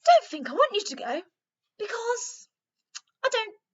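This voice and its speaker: A woman's voice: very high-pitched, big pitch swings, measured pace, dull audio, pitched above the performer's own voice, full character voice. A woman voicing a kindly, chatty old lady in a storybook, with a merry, reassuring manner. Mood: warm, reassuring.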